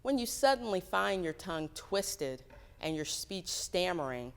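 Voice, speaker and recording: A woman's voice: fairly low pitch, very animated, measured pace, smooth. A woman reading a historic letter aloud as a scripted recitation. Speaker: church member reading quotes aloud. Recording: echoing hall with long reverb.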